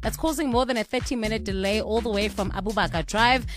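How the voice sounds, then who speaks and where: A woman giving a brisk radio traffic report. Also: on the high side. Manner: brisk, informative.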